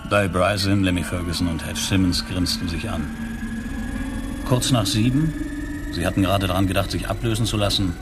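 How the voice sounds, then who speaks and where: Deep-voiced male radio play narrator. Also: normal speed, very noisy. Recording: loud music bed.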